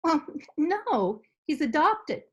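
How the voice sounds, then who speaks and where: A woman's voice: high voice, big pitch swings, takes it slowly, heavily acted. A woman playing a polite, genteel dog-loving lady in a radio comedy, prim and earnest. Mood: genteel, fond. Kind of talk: old-time radio comedy acting.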